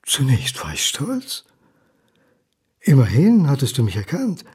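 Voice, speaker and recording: A man's voice: medium pitch, huge pitch swings, measured pace, darkish tone, slightly rough, exaggerated voice. An actor playing a lonely, hard-drinking son who speaks to his father in a sardonic, dramatic monologue. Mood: wounded, reproachful.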